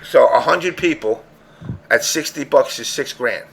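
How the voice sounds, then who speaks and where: A man's voice: expressive, measured pace, gravelly, a bit bright, breathy edge, rough recording. A man co-hosting a podcast, arguing his point in an emphatic, heated casual debate. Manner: emphatic, argumentative, rising to high pitch when pressing a point. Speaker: podcast co-host.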